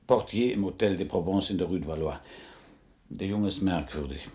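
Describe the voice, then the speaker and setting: Actor as mellow, low-voiced, shrewd investigator. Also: male voice, fairly quick, natural voice. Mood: matter-of-fact, commanding. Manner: clipped, matter-of-fact. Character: shrewd, commanding male investigator briefing his assistant. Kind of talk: 1990s German radio crime drama.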